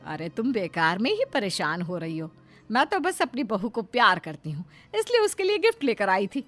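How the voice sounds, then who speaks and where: A woman acting as a doting middle-aged mother-in-law in a cartoon family tale. Her voice sits at a medium pitch and she coaxes and reassures, quietly competitive. Music plays behind her. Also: very animated, normal speed. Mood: placating, firm. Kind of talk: Hindi animated moral story acting.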